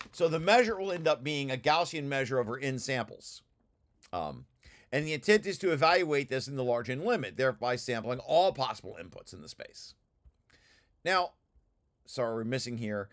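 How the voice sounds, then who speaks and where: Guy walking thru a technical paper, kinda halting, keeps correcting himself, mid pitch. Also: very animated. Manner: halting, self-correcting, explanatory. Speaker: presenter reading through own technical paper. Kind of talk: informal technical walkthrough.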